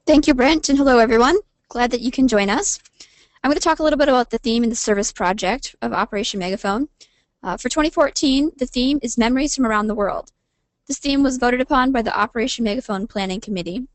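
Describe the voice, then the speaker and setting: A woman's voice: a little high, no room sound. A woman giving a scripted informational presentation as a webinar presenter.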